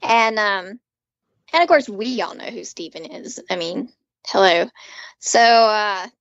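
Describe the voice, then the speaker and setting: A woman's voice: medium pitch, slow pace, fairly bright tone, no echo, dull audio. A woman co-hosting a podcast, recapping casually as an enthusiastic fan with rambling asides. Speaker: podcast co-host. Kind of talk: casual chatty episode recap.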